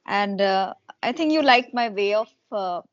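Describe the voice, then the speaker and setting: A woman's voice: mid-range voice, animated, measured pace, dry recording, highs cut off. A woman hosting a casual English conversation practice, speaking fluently and reflectively with fillers like "you know". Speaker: host, English conversation partner.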